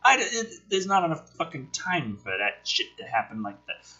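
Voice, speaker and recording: A husky-voiced man reading a script aloud dramatically, with exasperated, sweary commentary as host. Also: fairly high pitch, big pitch swings, normal speed, bright, forceful tone, lo-fi sound. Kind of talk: animated script read-aloud with profane commentary.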